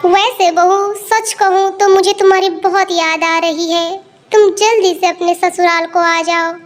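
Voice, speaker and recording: Woman voicing a very high-pitched bossy mother-in-law. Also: steady tone, measured pace, soft background music. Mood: wistful, affectionate. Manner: scolding, sarcastic, emphatic. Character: bossy, nagging older mother-in-law, quick to scold. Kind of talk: Hindi cartoon moral-story dialogue.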